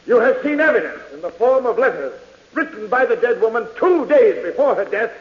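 An actor in an American 1940s radio drama playing a formal, declamatory defense lawyer in court. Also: male voice, very high voice, sing-song, brisk. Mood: solemn oratory. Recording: muffled, reverberant old radio recording.

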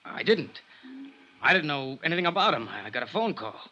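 An actor playing a defensive young murder suspect in a 1950s radio drama, protesting urgently. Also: male voice, on the high side, very animated, fairly quick, sharp tone, highs cut off. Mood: indignant, defensive. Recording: faintly noisy 1950s radio recording.

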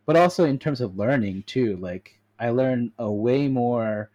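A man's voice: mid-range voice, measured pace, warm tone, highs cut off. An American man chatting casually as an interviewer, candid and reflective, feeling his way through his thoughts. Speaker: podcast host, interviewer. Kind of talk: casual chat.

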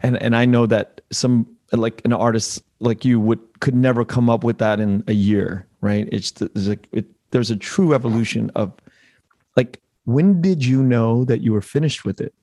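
A man's voice: medium pitch, warm tone. American male interview host, mid-range voice, conversational, feeling his way through questions with repeated starts. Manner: halting, searching for words, repeats phrases.

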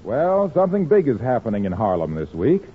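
Male vintage radio announcer, emphatic pitchman, very lively intonation, tinny phone-like recording. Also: a little fast, loud music behind the voice. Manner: polished, emphatic salesman delivery. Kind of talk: 1940s radio ad read and scripted narration. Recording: muffled scratchy old-time radio recording.

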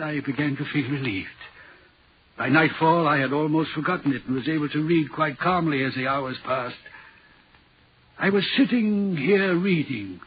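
A man's voice: on the high side, a little hiss or hum. An actor playing a haunted, anguished railway signalman in a brooding, dramatic 1940s radio horror play style. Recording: muffled 1940s radio recording.

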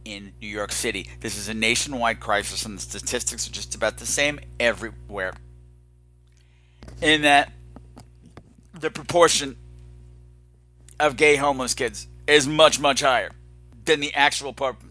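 A man's voice: mid-range voice, moderate pace, pauses often, pushed and bright, soft background music. A man hosting a podcast in a candid, unscripted monologue, dramatic and emphatic, sounding weary and exasperated. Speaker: podcast host.

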